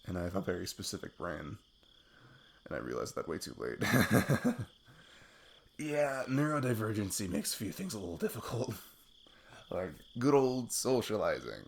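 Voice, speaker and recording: A man playing a sweet, nervous best friend in an intimate ASMR roleplay, halting and self-deprecating as he opens his heart. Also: deep voice, vocal fry, heavily acted. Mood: vulnerable, self-deprecating.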